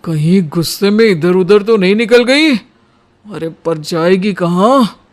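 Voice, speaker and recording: A man playing a playful, romantic and easily worried young husband in a cartoon story, with a dramatic, expressive delivery. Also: high-pitched, slow. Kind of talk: cartoon storybook character acting.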